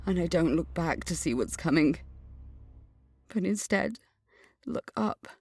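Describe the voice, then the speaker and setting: A woman's voice: lowish voice, animated, measured pace, a little mellow, breathy edge, full character voice. A woman playing an angry, suspicious teenage schoolgirl in an audio drama, earnestly narrating her memories and visions and speaking in dialogue. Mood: terrified.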